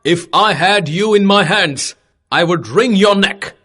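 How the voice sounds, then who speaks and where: A woman voicing a proud, hot-tempered king in a children's story, with dramatic, deliberate delivery. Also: mid-range voice, a bit bright. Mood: angry, threatening. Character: proud, hot-tempered king scolding his hawk, later remorseful.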